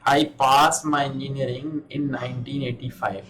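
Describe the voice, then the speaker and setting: A man's voice: mid-range voice, fairly even intonation, moderate pace, fairly bright tone. A man in his fifties from India with an Indian accent, giving a patient one-on-one English lesson over a video call. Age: 50s. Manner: patient, repeats and checks understanding. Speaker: English teacher. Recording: echoey, noisy video call.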